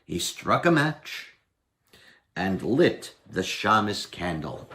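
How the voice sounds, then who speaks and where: Slow, expressive older man reading a story. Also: sits low. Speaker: storybook reader. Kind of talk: dramatic storytelling read-aloud. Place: indoors, home dining room.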